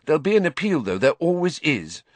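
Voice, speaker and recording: A man voicing a bossy, anxious teenage girl witch in a high, shrill, tearful voice. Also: big pitch swings, normal speed, bright, forceful tone, dry recording, full character voice. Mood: indignant. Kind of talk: audiobook character voice.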